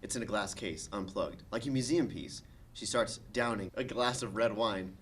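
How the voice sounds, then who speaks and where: A man's voice: fairly low pitch, normal speed, fairly bright tone, a little hiss or hum. A man reading stage directions as the narrator of a comedic script table read.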